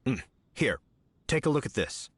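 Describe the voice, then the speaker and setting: A man's voice: medium pitch, sing-song, moderate pace, a little hiss or hum, natural voice. Man voicing a courteous, dutiful knight. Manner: formal, polite, earnest. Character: dutiful, courteous adult male knight captain. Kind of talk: game voice acting.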